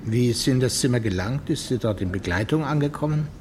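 An actor playing a brisk, sceptical, commanding male lead investigator in a radio drama. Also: medium pitch, lively intonation, darkish tone, a little hiss or hum, lightly acted. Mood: probing.

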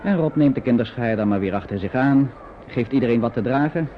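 An actor as a chatty, sympathetic narrator telling a radio play story in colloquial Dutch style. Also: male voice, mid-range voice, fairly quick, natural voice. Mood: vivid, sympathetic. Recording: dull, noisy old radio recording.

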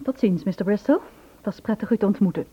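Radio drama wife: polite, evasive, hesitant, mid-pitched, phone-thin old recording. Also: female voice, lively intonation, fast, husky, lo-fi sound. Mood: weary, polite. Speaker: actress in a radio play. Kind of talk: Dutch radio drama acting.

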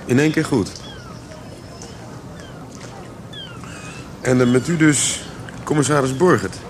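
A man's voice: medium pitch, brisk, noisy background, lightly acted. An actor playing an armed, defiant young man in a radio play, polite but menacing as he probes. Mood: coolly menacing.

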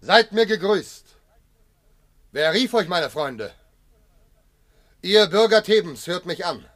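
An actor playing a proud, wronged military commander and husband, declaiming verse with passion in a classical radio drama. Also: male voice, high voice, expressive, slow pace, fairly bright tone, full character voice. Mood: solemn indignation. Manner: declamatory, solemn, impassioned.

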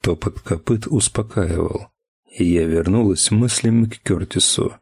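Husky low-voiced male audiobook narrator. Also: a little slow, dry recording, clean studio sound. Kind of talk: scripted first-person fiction narration.

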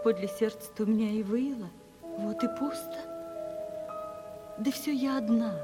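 An actress playing a melancholy, lonely young woman in love, simple and hushed, in a Russian radio play. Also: a little high, lightly acted. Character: sorrowful, lonely young poor relation in love.

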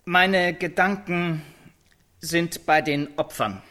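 An actor playing a prison warden who gives a formal, measured press statement in a radio drama. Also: male voice, on the high side, steady tone, bright, forceful tone. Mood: solemn, official. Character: American prison warden giving a formal execution briefing.

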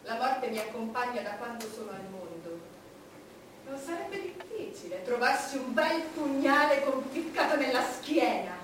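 A woman's voice: fairly high pitch, expressive, moderate pace, natural voice. An actress playing an imperious, scornful, vulgar-tongued Queen of England in a theatrical stage monologue, with a commanding delivery. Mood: defiant. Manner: theatrical, commanding, swinging from hushed menace to loud outbursts. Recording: echoey, distant, noisy stage recording.

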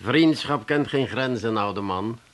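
Male actor as self-important sentimental man in radio comedy: medium-pitched, formal, solemn, theatrically sorrowful. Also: unhurried, a bit bright, lightly acted. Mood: pompous, solemn. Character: pompous, sentimental, self-dramatizing male mourner. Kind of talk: 1980s comedy radio play acting.

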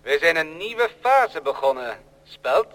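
High, menacing prophetic machine. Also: male voice, very animated, takes it slowly, a bit bright, heavily acted. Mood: ominous, menacing. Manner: declamatory, ominous. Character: machine producing plates, coldly menacing, prophesying domination. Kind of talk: old radio drama acting. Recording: long reverberant hall.

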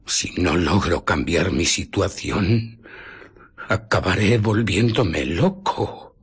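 Husky, brooding, embittered mad scientist. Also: male voice, sits low, expressive, heavily acted. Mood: seething anger. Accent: Castilian Spanish. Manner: theatrical, brooding monologue with pauses and breathy murmurs. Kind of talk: Spanish radio drama acting.

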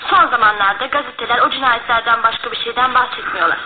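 Actress as a high-voiced, earnest, polite woman. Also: fast, natural voice. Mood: concerned. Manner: expressive, polite. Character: polite, earnest woman, concerned and slightly anxious. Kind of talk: radio play acting. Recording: noisy, lo-fi, phone-like old radio broadcast.

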